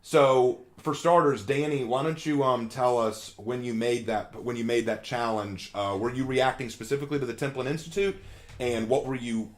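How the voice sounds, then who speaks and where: A man's voice: medium pitch, fairly even intonation, normal speed. A man hosting a live stream, talking in a friendly, chatty way with frequent ums and uhs. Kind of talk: casual live stream commentary.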